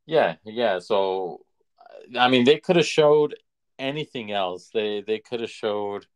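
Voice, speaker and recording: A male podcast co-host speaks in a fairly low voice at an unhurried, slow pace. His delivery is casual and halting, like a relaxed chat between friends. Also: smooth.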